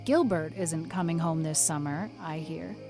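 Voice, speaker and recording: A woman voicing a stern, reserved woman of sixty who speaks in a brisk, dry, severe way. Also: sits low, warm tone, faint music behind, pitched below the performer's own voice.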